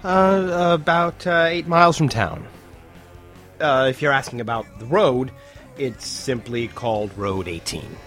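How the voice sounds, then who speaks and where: A man's voice: mid-range voice, unhurried, a bit bright, soft background music, natural voice. A man playing an earnest science professor in an audio drama, explaining things hesitantly. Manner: hesitant, filler-laden, explanatory.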